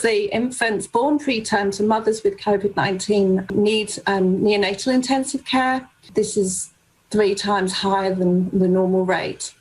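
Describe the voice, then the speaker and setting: Husky-voiced woman health expert. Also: medium pitch, steady tone, unhurried, low-quality recording.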